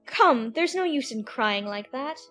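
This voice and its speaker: A woman acts out a curious, earnest little girl from a storybook, talking to herself. The voice is high and girlish, with music playing behind it. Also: lively intonation, measured pace, sharp tone, heavily acted. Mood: sharp self-scolding. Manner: thinking aloud to herself. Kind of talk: dramatized audiobook acting.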